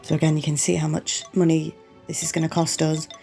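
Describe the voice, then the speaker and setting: A woman's voice: low-pitched, monotone, a little fast, vocal fry, mellow, faint music behind. A woman with a cold, sniffly and losing her voice, chatting her way through a farming game playthrough and apologising along the way. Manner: apologetic, chatty, talks the viewer through each step. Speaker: gaming channel host. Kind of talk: relaxed let's-play commentary.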